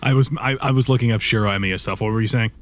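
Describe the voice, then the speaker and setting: A man co-hosting a let's play with casual, rambling, profane commentary, often halting as he searches for words. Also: fairly low pitch.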